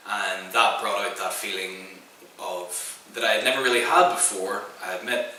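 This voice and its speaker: A man's voice: on the low side, steady tone, pushed and bright. Reflective man. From Northern Ireland. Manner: measured, reflective. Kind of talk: documentary interview. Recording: echoey room, distant mic.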